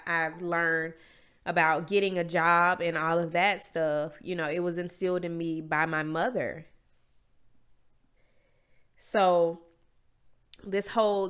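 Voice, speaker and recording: A woman's voice: fairly low pitch, fairly bright tone, smooth. A young adult woman with a stuffy, congested nose, hosting her own podcast in a candid, emphatic, motivational monologue. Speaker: podcast host.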